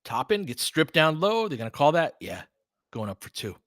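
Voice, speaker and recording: A man's voice: fairly high pitch, sing-song, moderate pace, a bit bright, no room sound, clean studio sound. A man calling live basketball play-by-play in short, clipped phrases, with casual fan-style asides. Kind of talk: live audio play-by-play commentary.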